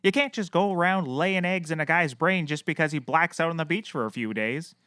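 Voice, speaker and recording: An actor playing a deluded, cheerfully oblivious private investigator who talks excitably and boastfully in a scripted comedy. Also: male voice, on the high side, a little fast, smooth, no room sound, studio quality. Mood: blithe. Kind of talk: scripted comedy audio acting.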